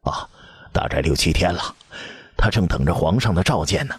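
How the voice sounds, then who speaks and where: A man's voice: deep voice, animated, normal speed, slightly rough, no room sound, clean studio sound, exaggerated voice. A man doing an evasive court minister. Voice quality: hesitant, hedging.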